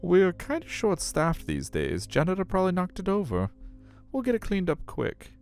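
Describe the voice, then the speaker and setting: A man's voice: high-pitched, wildly swooping pitch, moderate pace, gravelly, darkish tone, pitched above the performer's own voice, exaggerated voice. A man voicing a chirpy, evasive aquarium worker in a game, with a falsely breezy, sing-song delivery. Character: chirpy, evasive aquarium worker shooing kids from restricted areas. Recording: music under the voice.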